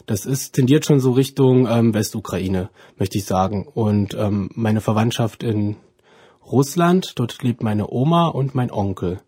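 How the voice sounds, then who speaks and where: A man's voice: fairly low pitch, a little slow, mellow, slightly rough, dry recording, studio quality. A man in his twenties from Ukraine who grew up partly in Germany, answering interview questions hesitantly and often correcting himself. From Ukraine, then Germany from about age seven. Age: 20s. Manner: hesitant, searching for words, self-correcting. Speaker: interview guest, student. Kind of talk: informal personal interview answers.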